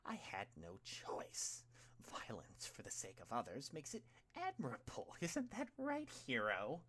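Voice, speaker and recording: A man's voice: medium pitch, huge pitch swings, unhurried, a bit bright, breathy edge, pitched above the performer's own voice, full character voice. A man voicing a bitter, mocking young male villain with a strained, whispery voice and theatrical delivery. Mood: mocking, angry.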